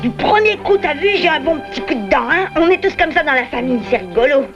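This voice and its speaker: A woman's voice: high voice, animated, fast, over loud music. A woman voicing a plucky, determined young boy beaver in a cartoon, heard on a dull old videotape soundtrack. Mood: plucky, encouraging. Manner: determined, eager, reassuring. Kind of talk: French-dubbed cartoon acting.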